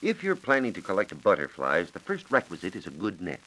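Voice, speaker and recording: An American man giving measured, precise instructions as a science reporter on a scripted 1940s radio program. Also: deep voice, huge pitch swings, pushed and bright, rough recording. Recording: 1940s radio broadcast recording.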